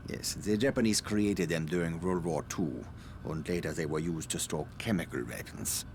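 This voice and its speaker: An actor playing an evasive, self-justifying scientist in a video game, speaking formally and precisely with polite condescension. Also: male voice, low voice, moderate pace, noisy background. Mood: grave warning. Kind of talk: dramatic video game cutscene acting.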